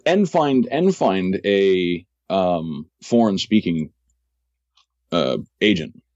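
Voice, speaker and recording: Man, very low voice, podcast guest, measured storytelling, repeats words, dry slightly dull sound. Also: lively intonation, a little mellow, no echo. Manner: measured, repeats words while finding his phrasing. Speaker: podcast guest, real estate agent in Tokyo. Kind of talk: casual interview storytelling.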